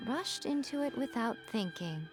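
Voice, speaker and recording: Casting: actress for a haughty fox shrine maiden, anime game. Theatrical, teasing, very animated delivery over music. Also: female voice, on the high side, moderate pace, lightly acted. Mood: exasperated, amused. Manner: wry, condescending, theatrical. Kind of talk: English-language anime game voice acting.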